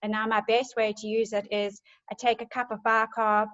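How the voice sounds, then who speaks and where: A woman talks through her topic in a chatty, enthusiastic manner, full of little ums. Her pitch stays fairly level and even. The sound is dull and lo-fi, like an online call. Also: normal speed. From South Africa. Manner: chatty, enthusiastic, many fillers. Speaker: essential oils presenter. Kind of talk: casual group presentation.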